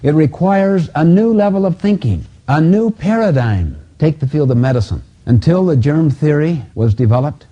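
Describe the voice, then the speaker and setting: A man with a very dark, husky voice delivers a self-help lecture. His pitch moves in lively swings as he deliberately stresses and repeats key points. The recording is dull and lo-fi. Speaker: self-help seminar speaker. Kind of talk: motivational teaching lecture with personal anecdotes. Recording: dull, lo-fi audio.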